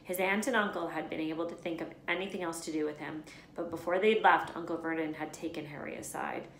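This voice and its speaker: A woman reading a children's story aloud in an expressive narrating style. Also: sits low, brisk, slight background noise. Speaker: read-aloud storyteller, teacher. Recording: echoey room.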